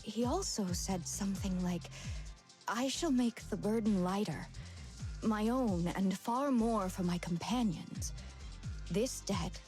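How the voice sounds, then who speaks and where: A woman playing a gentle, worried senior temple disciple in a video game story, speaking with solemn, measured earnestness. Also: mid-range voice, natural voice. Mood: solemn, hushed. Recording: game music behind the voice.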